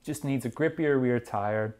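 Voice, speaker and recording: Man, thirties, casual product review chat, informal loose phrasing, medium pitch. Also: measured pace, darkish tone. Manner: hedging, informal, frequent "like" and "kinda". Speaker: mountain bike magazine reviewer. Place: small indoor studio workshop.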